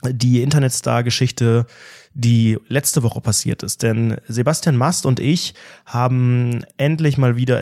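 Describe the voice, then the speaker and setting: A man's voice: medium pitch, vocal fry, a little mellow, no room sound, clean studio sound. A man co-hosting a casual podcast, telling a story in an ironic, mock-pompous way. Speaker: podcast co-host.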